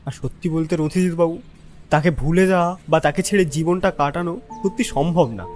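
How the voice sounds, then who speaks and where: A man's voice: fairly high pitch, fairly even intonation, brisk, a little mellow, soft background music, close to an everyday voice. A man playing a lovelorn young village man, earnestly recounting his troubles in an audio drama. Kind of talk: dramatic first-person storytelling.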